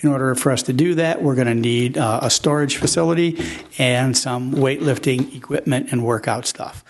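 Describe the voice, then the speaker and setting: A man's voice: medium pitch, moderate pace, a little hiss or hum. A middle-aged man, the city manager, speaking courteously and matter-of-factly at a public council meeting. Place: council chamber.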